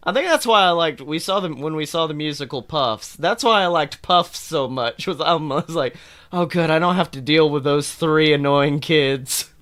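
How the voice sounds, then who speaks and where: Guy on a podcast, kinda high voice, jokey and animated, just chatting about movies. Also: moderate pace. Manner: animated, jokey, acts out imagined dialogue; casual swearing. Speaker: podcast co-host. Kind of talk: casual chat about films.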